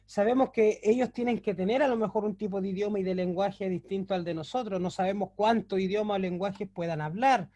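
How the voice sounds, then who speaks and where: A man's voice: high-pitched, steady tone, fairly quick, few pauses, dark tone. A man teaching a Bible class, explaining things patiently with frequent fillers and self-corrections, and asking the listeners rhetorical questions to check they follow. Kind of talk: recorded class lecture.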